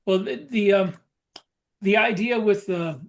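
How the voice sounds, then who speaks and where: A man co-hosting a podcast and talking shop as a novelist, explaining casually in a halting way and reworking his phrases. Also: high voice. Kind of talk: casual discussion of writing military science fiction.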